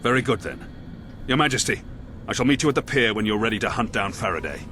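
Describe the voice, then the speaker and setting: An actor playing a grim, dutiful military commander in a video game, speaking in an earnest, formal, authoritative way. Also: male voice, lowish voice, expressive, fast talker, sharp tone, slight background noise. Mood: resolute. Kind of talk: video game cutscene acting.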